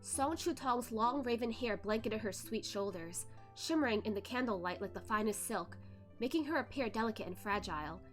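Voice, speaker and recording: A woman's voice: fairly high pitch, animated, normal speed, faint music behind. A woman reading a novel aloud as a streamer host, narrating expressively with casual asides. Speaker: host of a novel read-along, virtual streamer.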